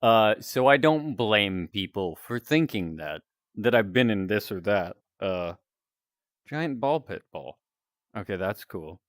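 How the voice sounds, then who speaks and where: A man with a deep, announcer-like voice, chatting casually with viewers while he plays a game. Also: slow pace, no room sound, studio quality. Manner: relaxed, rambling, chatting to viewers. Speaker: streamer.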